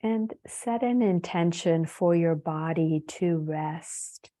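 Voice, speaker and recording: A woman leading a guided meditation as a yoga teacher, soothing and unhurried, leaving long pauses between phrases. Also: lowish voice, warm tone.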